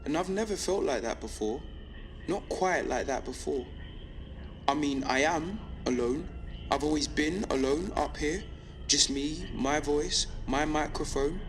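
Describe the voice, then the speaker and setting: An actor playing a defiant, lonely young male rebel on pirate radio in an audio drama, speaking intensely and deliberately in short rhythmic phrases. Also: a little high. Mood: lonely, brooding. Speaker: underground radio broadcaster. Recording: reverberant space with background noise.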